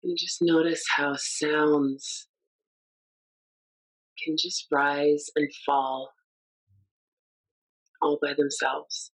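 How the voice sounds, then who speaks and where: Female meditation guide, very low voice, gentle and unhurried. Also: little pitch movement, slightly rough, rough recording.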